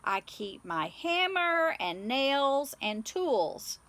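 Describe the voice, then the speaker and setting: Lady doing a nice helpful workman from a kids book, pretty high bright voice. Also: sing-song, extremely slow, no room sound, full character voice. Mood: obliging. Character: friendly, generous workman, adult male. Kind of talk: children's storybook character voice.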